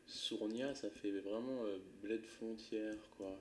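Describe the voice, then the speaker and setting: A man's voice: lowish voice, fairly even intonation, slow pace, darkish tone, a little hiss or hum. A man commentating his own play of a geography game live, thinking aloud in a casual, muttering way with some swearing.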